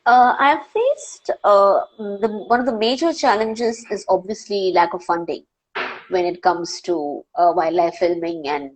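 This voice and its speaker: A woman's voice: medium pitch, measured pace, low-quality recording. A young woman from India with an Indian accent, a wildlife filmmaker answering questions in a live online interview, earnest and full of "uh" and restarts. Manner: earnest, many "uh" fillers and restarts. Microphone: video call.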